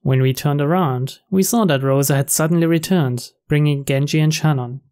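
A man's voice: fairly high pitch, takes it slowly, a little mellow. A man reading scripted story narration aloud. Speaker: reader of on-screen story text. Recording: clear rain noise behind.

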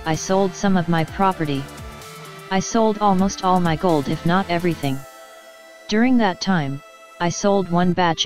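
Low-voiced woman reading celebrity news. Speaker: news narrator. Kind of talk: scripted news narration.

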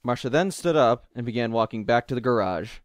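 A man narrates an audio drama from a script. His voice sits at a medium pitch, and the recording is dry, with no room echo. He reads as a storyteller. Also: animated, fairly quick.

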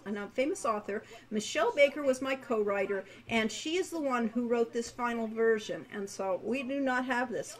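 An American woman in her sixties chatting casually on a livestream from home, halting and rambling as she thinks aloud. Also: a little high. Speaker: game designer and co-writer, livestream host. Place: home room. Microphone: webcam-style setup, no headset, at desk distance.

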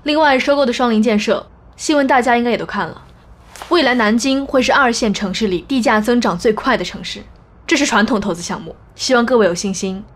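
A woman's voice: a little high, brisk, close to an everyday voice. Woman acting a businesslike female executive. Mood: composed, formal. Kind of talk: Chinese audio drama acting.

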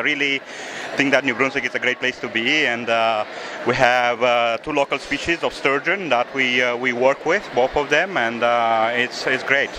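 Man, Romanian accent, 40s, halting speech, mid pitch, handheld mic, noisy festival hall. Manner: halting, many fillers and repeated words. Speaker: sturgeon farm owner. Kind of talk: casual interview. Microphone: handheld mic held by interviewer.

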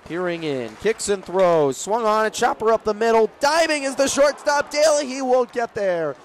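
High-voiced young male baseball radio announcer. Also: expressive, normal speed. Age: young adult. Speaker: play-by-play broadcaster. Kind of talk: live baseball radio commentary. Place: outdoor ballpark broadcast. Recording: crowd and stadium noise behind. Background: ballpark crowd.

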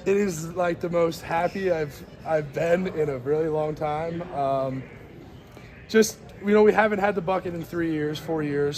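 A young college football player answering reporters after a game in a crowded weight room, earnest and grateful, with many fillers. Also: male voice, a little high, darkish tone. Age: young adult. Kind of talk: postgame media scrum. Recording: crowd chatter behind.

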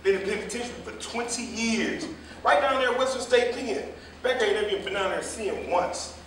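A man's voice: extremely high pitch, fast, a bit bright. A man playing a gossipy, combative older jitney driver in a stage play reading, telling stories in a folksy, rambling, colloquial way. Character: gossipy, combative old male jitney driver, scolding the young. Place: theater or hall staged reading, far mic. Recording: echoey hall, distant mic, faint noise.

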